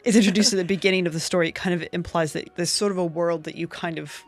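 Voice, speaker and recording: A man's voice: high-pitched, fairly even intonation, quick pace, faint music behind. A man interviewing in a casual, conversational way, feeling out questions tentatively and in a roundabout manner.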